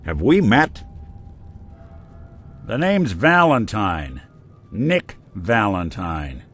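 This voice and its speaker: A man's voice: on the low side, sing-song, drawn-out words, a bit bright, faint music behind, heavily acted. An actor playing a weary male synth private detective with a dry, laid-back wit, in video game dialogue. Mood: wry.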